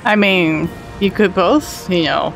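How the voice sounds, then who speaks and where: A man's voice: high-pitched, takes it slowly, vocal fry, music in the background, rough recording. A young adult man giving casual, sardonic reaction commentary as a gaming streamer. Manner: joking, sardonic.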